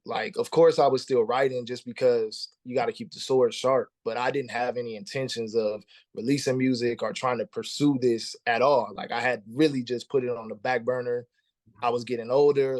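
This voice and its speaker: A man's voice: medium pitch, a little mellow, no echo, studio quality. A man in his thirties, a musician guest talking casually in an interview, laid-back and slangy, often repeating words as he starts a thought. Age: 30s.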